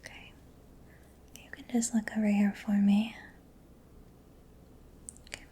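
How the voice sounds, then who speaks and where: A soft-spoken woman in a breathy whisper, gently and reassuringly playing a caring mechanic in an ASMR roleplay. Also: medium pitch, flat delivery, takes it slowly. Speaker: ASMR performer.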